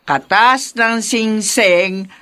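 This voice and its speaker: A woman's voice: mid-range voice, drawn-out words, bright, forceful tone, dry recording. A proud Filipino grandmother. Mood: wistful, stubborn. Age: older. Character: proud, protective elderly grandmother who built a business. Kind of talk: Filipino radio drama acting.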